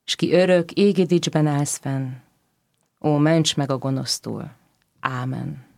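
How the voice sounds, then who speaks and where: A reciter delivering religious verse in a solemn, measured declamation. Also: mid-range voice, vocal fry, a little mellow, dry recording, clean studio sound. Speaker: poetry reciter.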